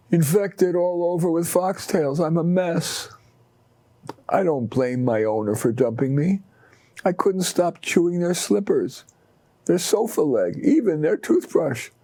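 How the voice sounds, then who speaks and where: A man's voice: fairly high pitch, warm tone, no echo, heavily acted. A man voicing a scruffy stray dog with a positive, naive temper, chatting earnestly in a storybook tale. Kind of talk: first-person short story reading.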